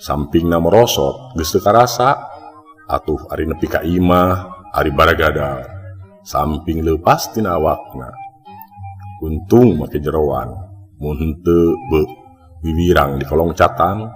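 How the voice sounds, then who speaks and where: A low-voiced man with a Sundanese accent narrates a mystery tale in a dramatic storyteller's style. His voice rings with long reverb, and music plays behind him. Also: measured pace, long pauses.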